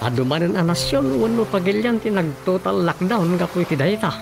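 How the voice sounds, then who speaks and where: An opinionated village councilman with an Ilocano accent. His voice is a little high and lightly creaky, conversational and grumbly, over clear background music. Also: expressive, brisk. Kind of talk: radio drama acting. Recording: music bed under dialogue.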